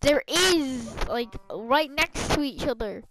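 A woman's voice: high-pitched, lively intonation, takes it slowly, background noise. A young woman chatting casually with a friend while gaming, bossy and prone to swearing. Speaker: gamer. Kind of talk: casual gameplay chat with a friend.

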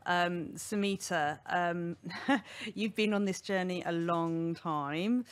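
A woman hosting a live radio panel discussion in a studio, speaking into a boom-arm mic. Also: slow pace, clean tone, no room sound. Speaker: radio host. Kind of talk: hosted panel interview. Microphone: studio mic on boom arm, headphones.

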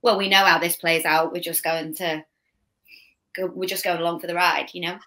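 A woman's voice: fairly low pitch, little pitch movement, sharp tone. A young woman with a Scouse accent, an actress guest chatting about her role on a video call. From Liverpool. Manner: chatty, quick restarts, laughing asides. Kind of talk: casual interview chat. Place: home room, video call.

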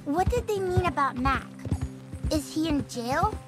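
An innocent little boy in a Western game drama. The voice is extremely high and shy, and loud music plays behind it. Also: expressive, measured pace. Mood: worried. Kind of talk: dramatic video game acting.

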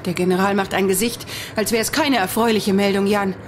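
A woman's voice: medium pitch, fairly even intonation, fairly quick, a little hiss or hum, lightly acted. An actress playing an anxious young female adventurer, worried and dramatic, in a children's audio drama. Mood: concerned.

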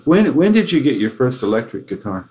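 A man's voice: medium pitch, big pitch swings, fairly quick, a little mellow. A man with an American accent asks questions as an interviewer, in a casual, curious and attentive way. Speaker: music journalist interviewer. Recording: muffled interview tape.

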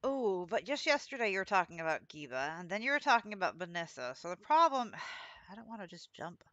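A woman's voice: mid-range voice, very animated, brisk, a bit bright, no room sound, highs cut off. A woman giving casual gameplay commentary as she plays.

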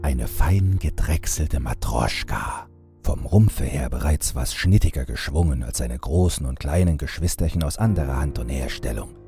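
A man narrating a horror audiobook in a slow, measured, ornate storytelling manner. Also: extremely low pitch, lively intonation, gravelly, mellow, soft background music.

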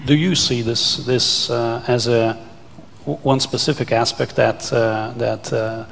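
A man in his thirties or forties moderating a panel, haltingly repeating words as he forms his questions. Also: medium pitch, fairly even intonation, creaky, fairly bright tone. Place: indoor conference stage. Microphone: headset microphone. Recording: reverberant conference hall.

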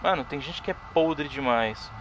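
A man's voice: on the high side, moderate pace, a little hiss or hum, rough recording. A young Brazilian man streaming a horror game, chatting casually with viewers and thanking followers. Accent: Brazilian Portuguese. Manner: chatty, thanks followers, sudden excited yelps. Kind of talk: casual live game commentary.

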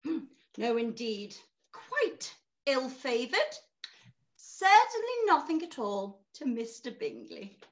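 A middle-aged woman playing an excitable, effusive mother in a period radio play. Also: high-pitched, takes it slowly, full character voice. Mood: indignant. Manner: effusive, dramatic, breathy laughs and gasps. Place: small room at home, indoors. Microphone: video call.

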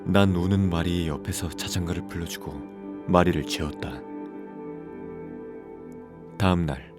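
A man's voice: deep voice, a little slow, music in the background. A man playing a troubled widowed father in his thirties who narrates a scripted horror story and acts out his lines. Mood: ominous, subdued.

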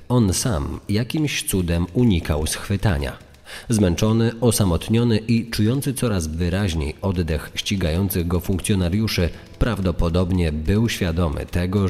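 A man's voice: sits low, darkish tone. A man reading grave, scripted true crime narration. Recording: long reverb, music underneath.

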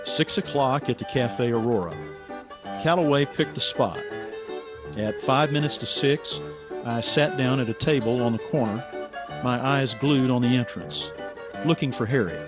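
An actor playing an earnest, stubborn writer in a radio drama, plain-spoken and terse. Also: male voice, mid-range voice, moderate pace, music in the background, close to an everyday voice. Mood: grave narration. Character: earnest, stubborn American writer, narrating his own story. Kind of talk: radio drama acting and first-person narration.

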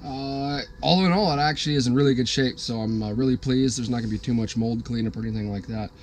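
A man's voice: mid-range voice, fast, slight background noise. A man in his thirties filming himself on his sailboat, talking casually to the camera with lots of fillers. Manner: relaxed, easygoing, frequent "uh" fillers. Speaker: sailing vlogger. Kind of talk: casual vlog talk to camera. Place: on a sailboat at a marina, cabin and deck. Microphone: handheld camera held at arm's length.

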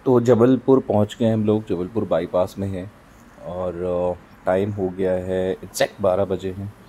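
A man talks through his road trip from the driver's seat, unhurried and pausing often between phrases. His voice is low and mellow. Faint road noise from the moving car hums underneath. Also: steady tone. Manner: unhurried, pauses with fillers. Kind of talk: casual narration while driving.

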